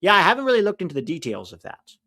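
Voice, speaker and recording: A man's voice: high-pitched, wildly swooping pitch, bright, forceful tone. A man co-hosting a live soccer watch-along, chatting casually and venting blunt opinions, with a mixed, hard-to-place accent. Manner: blunt, opinionated, quick to vent frustration. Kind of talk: casual live-stream match commentary and chat.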